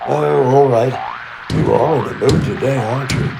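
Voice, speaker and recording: A man's voice: mid-range voice, normal speed, gravelly, very noisy, close to an everyday voice. A man as a mild, wordy travelling companion. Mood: mildly put out. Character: mild-mannered male travelling companion, a doctor or professor. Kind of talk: comedy radio play acting.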